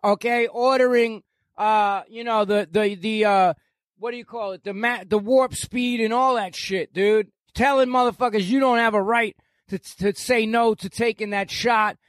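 A very high-pitched man in his sixties rants loudly, cursing constantly as a podcast guest. His voice is dry and close, with no room around it. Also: fairly even intonation, moderate pace, no echo. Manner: loud, profane, ranting. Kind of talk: heated podcast conversation.

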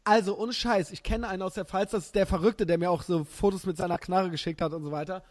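A German man in his thirties hosting a podcast, chatting casually and telling animated, slangy stories with frequent laughter. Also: high-pitched, fast talker. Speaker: podcast host. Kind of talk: casual chat.

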